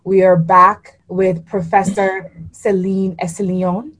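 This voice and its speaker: A woman's voice: medium pitch, flat delivery, slow, fairly bright tone. A woman hosting a casual podcast interview, playful and self-deprecating, who often stumbles over her words. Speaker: podcast host.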